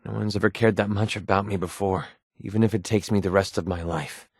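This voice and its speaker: An actor voicing a wry, prickly young mercenary sniper with a hidden soft side in a video game, sarcastic and conversational. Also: male voice, fairly low pitch, a little fast, no room sound, studio quality. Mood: subdued, sincere. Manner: sarcastic, conversational, emotionally expressive. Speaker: video game companion character. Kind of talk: naturalistic video game voice acting.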